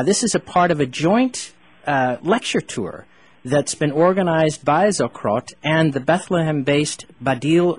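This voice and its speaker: A male radio host asking questions and reading event announcements in a clear announcer delivery. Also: medium pitch, a little hiss or hum.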